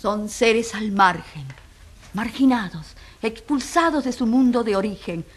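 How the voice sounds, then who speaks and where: Solemn female narrator, rhetorical, dramatic radio delivery, raised pitch. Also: expressive, measured pace. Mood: solemn, indignant. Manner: grave, rhetorical. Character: solemn, compassionate woman narrator at a tribunal. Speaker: narrator and moderator of a dramatized trial.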